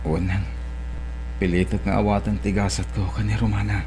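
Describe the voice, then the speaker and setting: A man's voice: lowish voice, flat delivery, moderate pace, over loud music, natural voice. A man playing a dutiful, hardworking young son with heartache in an Ilocano audio drama, polite and subdued. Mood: sad.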